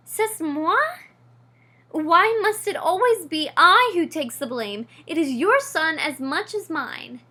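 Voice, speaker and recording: A woman's voice: high voice, animated, slow pace, bright, forceful tone, exaggerated voice. A woman reading aloud, voicing a vain, dismissive mother mouse with a put-on French accent and a theatrical, scornful manner. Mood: indignant. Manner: scornful, theatrical, eye-rolling.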